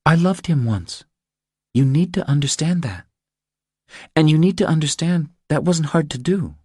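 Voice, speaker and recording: A man's voice: on the high side, expressive, normal speed, a little mellow, slightly rough, no room sound, studio quality, voice pushed up. A man voicing an earnest young mother who confides her troubles in an audiobook. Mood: earnest, confiding. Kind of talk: audiobook character dialogue.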